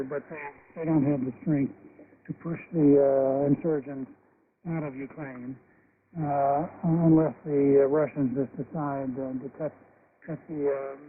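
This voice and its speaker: American male panel journalist, halting and measured delivery, heard through narrow, lightly noisy shortwave. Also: fairly high pitch. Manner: halting, measured phrasing with repeated words. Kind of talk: unscripted news analysis. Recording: muffled, faintly noisy shortwave radio broadcast.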